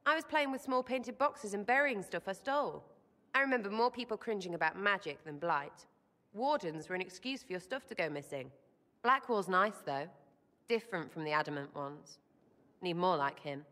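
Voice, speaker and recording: A woman's voice: mid-range voice, animated, normal speed, fairly bright tone, close to an everyday voice. An actress playing a cheeky, impulsive young woman rogue in a video game, talking in slangy, irreverent, blurted fragments. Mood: blunt, chatty.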